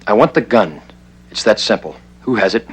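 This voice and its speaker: An actor playing a cool, terse masked vigilante in a 1960s American television crime drama, questioning with authority. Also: male voice, fairly low pitch, lively intonation, moderate pace, breathy edge. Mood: firm, pressing. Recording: 1960s TV soundtrack with music underneath.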